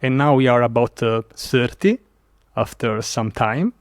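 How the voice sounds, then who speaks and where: Italian man, animated podcast guest. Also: medium pitch, a little slow, smooth, no room sound. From Italy. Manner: animated storytelling with frequent fillers and restarts. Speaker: guest developer advocate. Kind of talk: casual podcast interview.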